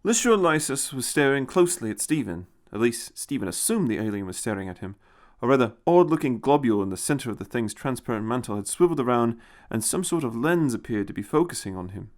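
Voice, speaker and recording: A man's voice: mid-range voice, big pitch swings, quick pace, hardly any pauses. A man reading a scripted story as a narrator, in a dramatic storytelling style. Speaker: audiobook narrator.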